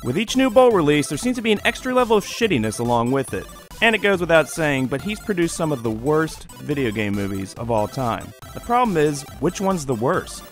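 A sardonic man in his thirties delivers scripted critical commentary in a lively, swooping voice. He is emphatic and opinionated, pitched a little high, and moves along at a brisk clip. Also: soft background music. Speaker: video game movie critic, show host. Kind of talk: scripted video essay commentary. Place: small furnished bedroom, indoors.

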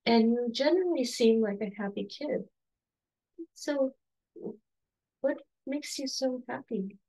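A slightly hoarse woman in her forties asks soft, encouraging questions in a fairly high voice. She talks over a webcam from a home room lined with bookshelves. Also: measured pace, poor audio. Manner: soft, encouraging, with frequent restarts and light laughs. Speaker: podcast host interviewing her son. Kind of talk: gentle conversational interview.

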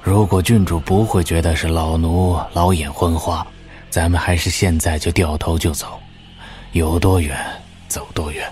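A man playing a grave, loyal old martial master in an audio drama, solemn and measured. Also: low-pitched, fairly even intonation, darkish tone, faint music behind, natural voice. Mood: cautious.

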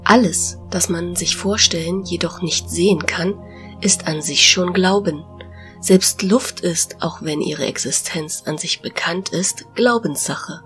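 A woman acts out a young, wise goddess of nature in an audio drama. She lectures gently and calmly in a lowish voice, with music behind her. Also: measured pace. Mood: gentle, philosophical. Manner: calm, lecturing. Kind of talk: fantasy parody audio drama acting.

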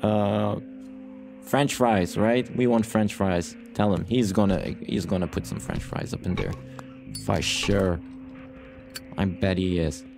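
Man, lower-pitched voice, casual gaming commentary, rambling, playful, repetitive phrasing, clear music in the background. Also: slow pace. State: short on sleep. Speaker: gaming YouTuber, host. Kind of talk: casual let's play commentary. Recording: music behind the voice.

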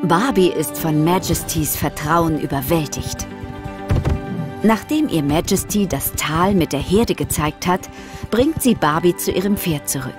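A female narrator reads a scripted children's story. Her voice sits a little low, with clear music behind it. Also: animated, unhurried.

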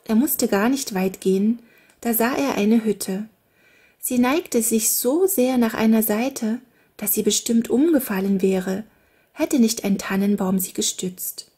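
A woman narrates a children's fairy tale at a very unhurried pace. Her voice sits a touch high and sounds soft and breathy. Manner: slow, gentle storytelling. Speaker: audiobook narrator.

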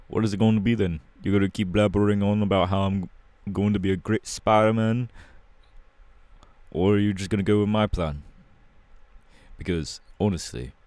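A low-pitched, cocky young punk hero from an audio roleplay, acted by a man, talks in a London accent. He is slangy, irreverent and laid-back, feeling his way through sentences. The sound is close and dry. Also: darkish tone, dry recording, natural voice. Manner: casual, slangy, halting, irreverent.

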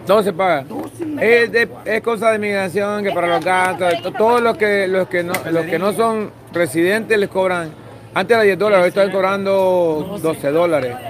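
A man's voice: high voice, normal speed. A man with a Salvadoran accent chatting casually as the host of a livestream. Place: outdoors at night in a busy street. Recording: busy outdoor crowd noise. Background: crowd chatter.

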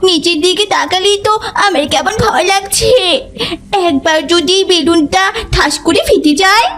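A woman's voice: very high voice, moderate pace, fairly bright tone. A woman voicing a naive, playful little tiger cub girl in a cartoon, with a childlike, pleading manner. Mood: frightened. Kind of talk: cartoon voice acting.

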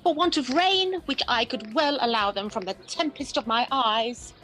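Actress as high-voiced, devoted young Hermia. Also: female voice, normal speed, fairly bright tone, soft background music, close to an everyday voice, rough recording. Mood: sorrowful, earnest. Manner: heartfelt, theatrical verse delivery. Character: Hermia, devoted, earnest young woman in love. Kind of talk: Shakespearean radio play acting.

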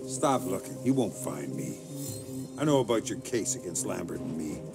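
An actor playing a weary middle-aged covert agent with an American accent, terse and guarded, in a video game. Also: male voice, deep voice, very animated, moderate pace, vocal fry, heavily acted. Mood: guarded, curt. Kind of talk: cinematic video game acting. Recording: music behind the voice.

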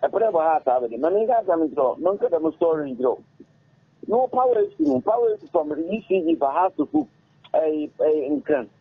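A middle-aged Ghanaian man talks at a studio mic in a raspy, gravelly voice that swings widely up and down. He speaks haltingly, repeats himself and mixes languages as he goes. The sound is thin and boxy, as if heard over a phone line. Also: fairly high pitch, huge pitch swings, no echo. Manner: halting, repetitive, switches languages mid-sentence. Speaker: interview guest, former footballer. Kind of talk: casual interview talk. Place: radio studio. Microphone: studio microphone on arm. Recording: muffled phone-like audio.

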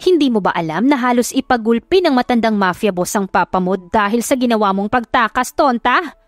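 A woman's voice: a little high, lively intonation, fairly quick, no echo, clean studio sound, close to an everyday voice. Haughty, mocking Filipina villainess, acted by a woman. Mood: scornful. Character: scheming, taunting stepmother villainess.

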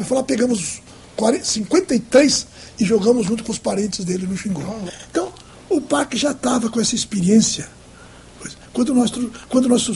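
A man's voice: high voice, vocal fry, a little mellow, a little hiss or hum. An older man in a documentary interview, recounting past events with restarts, filmed in a home office.

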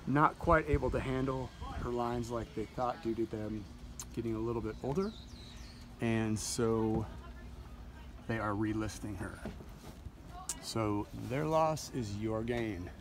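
A man's voice: mid-range voice, a little slow, pauses often, a little hiss or hum. A man in his forties casually walking viewers through a sailboat, talking into his handheld phone with plenty of fillers and self-corrections. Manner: relaxed, chatty, with frequent fillers and self-corrections. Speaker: yacht broker. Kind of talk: casual walkthrough tour. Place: aboard a sailboat in a marina, deck and cabin. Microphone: handheld phone camera. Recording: phone recording with music playing nearby.

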